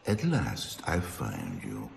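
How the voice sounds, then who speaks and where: Deep, ominous mysterious stranger. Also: male voice, exaggerated voice. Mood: ominous calm. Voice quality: very deep, gravelly. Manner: slow, deliberate, menacingly calm questioning. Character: ominous, patient, probing robed male stranger. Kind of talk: cinematic game cutscene acting. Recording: cavernous echoing hall, faint ambient noise.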